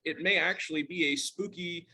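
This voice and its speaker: A man's voice: a little high, moderate pace, pushed and bright. A middle-aged man, casually telling a personal story on a video call. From Montreal, Canada. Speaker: club member telling a personal ghost story.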